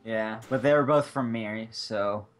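A man's voice: on the low side, normal speed, a little hiss or hum. A man around twenty-one talking casually about his stream during a group game, cracking jokes in an easygoing way. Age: 20s. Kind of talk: casual gaming chat.

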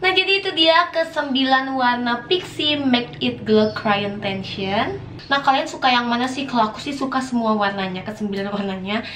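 A young woman with a hoarse voice, chatting casually as a beauty vlogger reviewing products, gushing and full of fillers. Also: a little high, normal speed, a bit bright, roomy sound, soft background music, poor audio. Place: small indoor room, plain wall.

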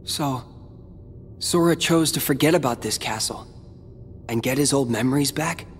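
A man's voice: medium pitch, moderate pace, a little hiss or hum, close to an everyday voice. A man playing a brooding, proud teenage boy in an earnest, dramatic video game cutscene. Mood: guarded, quiet. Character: brooding, proud teenage boy hero and his tormented replica.

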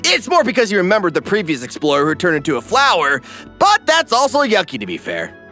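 A man's voice: high voice, pushed and bright. A man giving wry, playful, exaggerated narration for a scripted comedy recap.

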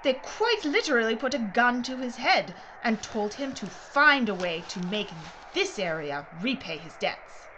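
An actress playing a brisk, secretive mining executive in an audio drama, speaking in a precise, formal way. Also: female voice, a little high, sing-song, sharp tone, full character voice, low-quality recording. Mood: brisk, explanatory. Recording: clear background noise and ambience.

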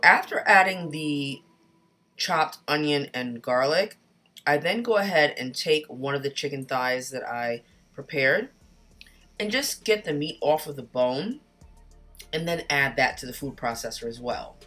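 A woman narrating a cooking video in a voice-over, chatty and relaxed, giving casual step-by-step instructions. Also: low voice, measured pace, faint music behind. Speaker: cooking channel host. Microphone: home voice-over mic.

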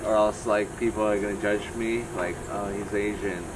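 A man who is a comedian guest, chatting casually and deadpan, with self-mocking asides, in a backyard interview. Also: fairly low pitch, moderate pace, heavy background noise. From Seattle area. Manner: deadpan, self-mocking, slips into quoted voices. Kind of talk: casual chat. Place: backyard, outdoors.

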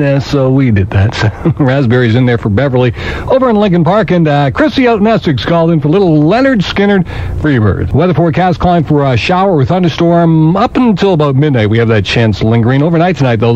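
A male radio DJ with a fairly high voice, talking between oldies records in classic station patter. The sound is a slightly muffled old aircheck with faint noise behind it. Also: darkish tone. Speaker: radio disc jockey. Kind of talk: oldies radio DJ patter with time, weather and requests. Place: radio studio.

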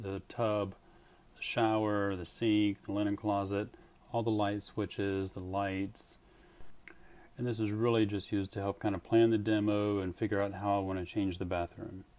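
A man's voice: on the low side, darkish tone. A man giving a casual how-to narration as a home DIY renovator, plain-spoken and matter-of-fact. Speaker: home DIY renovator presenting his own project.

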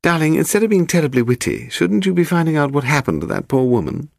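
A man voicing a refined, sharp-tongued wife who teases and frets in a reproachful way. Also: a little high, big pitch swings, quick pace, gravelly, no room sound, studio quality, voice pushed up.

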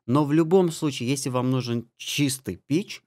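A man giving a spoken software tutorial as an audio mixing tutor, explaining settings step by step. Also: mid-range voice, normal speed. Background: music and guitar samples.

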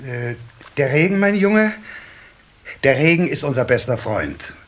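A man's voice: medium pitch, a little slow. A man playing a good-humoured, easygoing water-sprite father in a children's radio play. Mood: kindly. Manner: calm, amused, explanatory. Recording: cassette recording with faint hiss.

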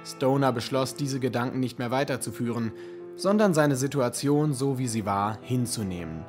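A man narrating a radio play as a scripted, literary story in a measured, dramatic manner. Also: medium pitch, expressive, a little mellow. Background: music.

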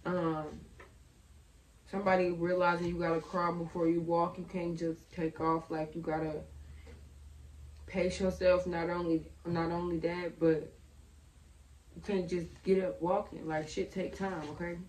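A young woman with a slightly raspy, low voice chats through a tarot reading in a loose, slangy way. Her delivery stays fairly flat. The sound is roomy and picked up from a little way off. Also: unhurried, slight background noise. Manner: chatty, slangy, thinking aloud. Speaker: tarot reader. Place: bedroom at a table. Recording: roomy, a bit far from the mic.

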